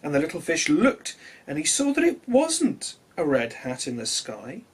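A man's voice: fairly high pitch, wildly swooping pitch, normal speed, husky, low-quality recording. A man in his thirties reading a children's storybook expressively, speaking simply to young listeners.

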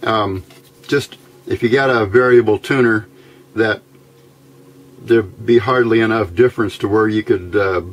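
Slow-talking man giving a hands-on tutorial. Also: lowish voice, breathy edge. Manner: plain-spoken, unhurried, halting explanation. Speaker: electronics hobbyist demonstrating a build. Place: indoor workbench.

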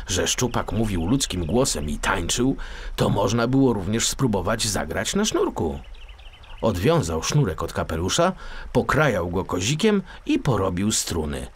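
A man's voice: deep voice, breathy edge. A man narrating a scripted children's story expressively, as a storyteller.

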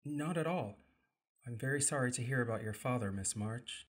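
A man's voice: medium pitch, moderate pace, close to an everyday voice. Man playing polite young Mr Brooke. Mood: courteous. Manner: courteous, formal.

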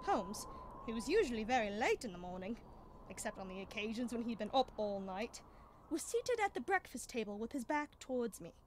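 A woman's voice: a little high, sing-song, brisk, a little hiss or hum. A young woman playing Doctor Watson, a genial, chatty male storyteller, in a radio drama. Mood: calm narrating. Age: young adult. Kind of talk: 1930s-style radio drama acting.

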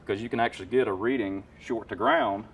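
Lowish-voiced man talking shop about tools. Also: quick pace, distant mic, slight background noise. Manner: enthusiastic, practical, lots of fillers. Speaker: HVAC technician explaining tools. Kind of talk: casual shop talk, explaining. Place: warehouse parts room with shelves.

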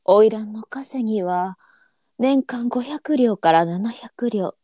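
A woman playing a tender-hearted, melancholy courtesan of old Japan in a live voice drama, speaking softly and demurely in courtesan dialect. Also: on the high side, takes it slowly, no room sound, studio quality. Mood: resigned, reflective. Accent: courtesan arinsu dialect. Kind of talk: online live voice drama acting.

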